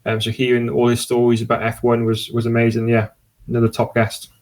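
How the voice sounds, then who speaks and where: A man talks as a podcast guest in an easy, enthusiastic ramble. His voice sits at an ordinary middle pitch, and he often stops and restarts his sentences. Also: completely flat delivery, a little fast, darkish tone. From Cardiff, Wales. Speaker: podcast guest and sports podcast host. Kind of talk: casual podcast conversation.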